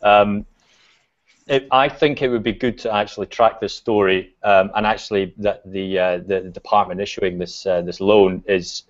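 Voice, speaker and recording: A Scottish man talks in a low, easygoing voice, pausing with frequent ums as he thinks aloud. His voice comes through a video call with a dull, lo-fi sound. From Scotland. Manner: relaxed, many fillers and restarts. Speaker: guest. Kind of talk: casual video chat.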